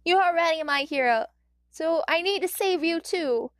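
A woman voicing a gentle, determined young boy who speaks softly and earnestly. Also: extremely high pitch, normal speed, dry recording, studio quality, voice pushed up.

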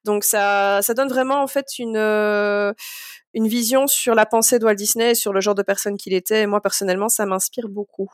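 A woman's voice: medium pitch, fairly even intonation, moderate pace, dry recording, clean studio sound. A woman from France chatting casually on a podcast, hesitant with many fillers and frequent laughs. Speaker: guest.

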